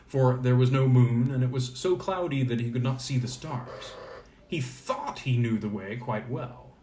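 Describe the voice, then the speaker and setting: A man narrates an old fairy tale in a mid-range voice. His reading is expressive and deliberate, with a dramatic storyteller's phrasing. The sound is roomy, with plenty of echo around the voice. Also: mid-range voice.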